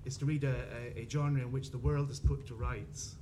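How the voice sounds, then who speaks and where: Thoughtful Dublin man over clear background noise. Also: mid-range voice, steady tone, fairly quick. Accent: Dublin Irish. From Dublin, Ireland. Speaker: panellist, crime writer. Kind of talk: discursive panel talk.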